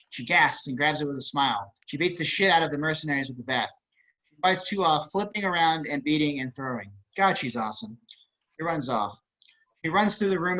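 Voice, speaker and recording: A man's voice: a little high, sharp tone. A man narrating stage directions at an online table read, with wry, casual asides. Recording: dull video-call audio.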